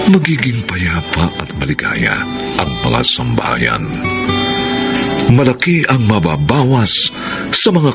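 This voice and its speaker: A man narrating and announcing a radio drama from a script in a solemn, deliberate, theatrical manner. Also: deep voice, vocal fry. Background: loud music.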